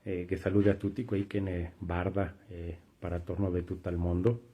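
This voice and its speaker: A man from Mexico with a Chipilo Venetian accent, giving an informal, halting greeting as community representative. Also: sits low, level tone, breathy edge, highs cut off.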